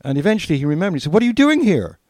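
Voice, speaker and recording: A man's voice: high voice, sing-song, rapid-fire, a little mellow, dry recording, clean studio sound. An older man telling anecdotes casually as a podcast co-host, acting out bits of dialogue as he reminisces.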